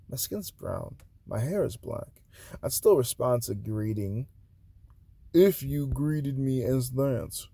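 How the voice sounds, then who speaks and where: A man from Haiti reading his own spoken-word poems aloud, swinging between loud declarations and hushed, breathy asides. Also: mid-range voice.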